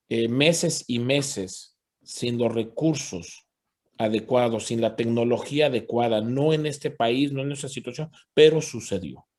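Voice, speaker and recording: A man serves as interpreter for an online parents' meeting in a mid-range voice. He moves quickly between Spanish and English and fills gaps with "este" and "pues". He renders the other speakers' points with warm agreement. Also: mid-range voice. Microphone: video call.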